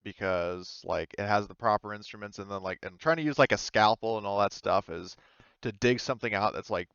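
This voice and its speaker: A man's voice: lowish voice, fairly quick, a bit bright, clean tone, no echo, muffled recording. A man running a tabletop game as game master, talking in a tentative, conversational way. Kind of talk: casual tabletop game narration.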